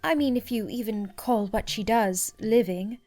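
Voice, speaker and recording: A woman voicing a graceful, cool, mature goddess who speaks in a poised, lofty, gently teasing way. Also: a little high, moderate pace, lightly acted. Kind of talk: fan audio drama acting.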